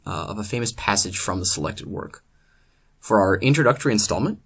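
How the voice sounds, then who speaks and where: A man presenting a comedic scripted literature lecture as host, in a deadpan, mock-scholarly manner. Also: on the low side.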